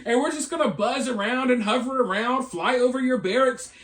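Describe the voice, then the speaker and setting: Man, high voice, opinionated commentator, emphatic delivery, repetitive phrasing, rhetorical questions. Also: a little fast, a bit bright. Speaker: video host and commentator. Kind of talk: opinionated news commentary to camera.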